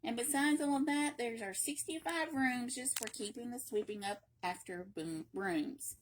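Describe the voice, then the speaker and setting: A woman reading a children's picture book aloud in a playful, expressive way, with emphatic repetition and chatty asides. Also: a little high, normal speed, slight background noise, poor audio. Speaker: library read-aloud reader.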